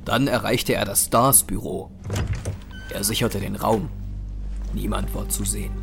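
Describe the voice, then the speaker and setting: A man's voice: lowish voice, steady tone, measured pace, gravelly, over music. A man narrating an action horror audio drama in a dramatic, scripted style.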